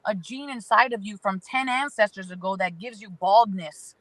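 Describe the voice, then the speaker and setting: A woman's voice: mid-range voice, normal speed, a bit bright, no room sound, rough recording. A woman appearing as an astrology guest, giving spiritual advice in an emphatic way that stacks phrases into lists.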